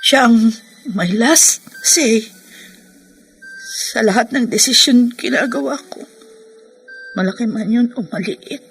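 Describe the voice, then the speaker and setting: A woman playing a weary, helpless wife and mother in a radio drama, speaking in a subdued, halting way. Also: on the high side, faint music behind. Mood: numb despair. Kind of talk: Tagalog radio drama acting.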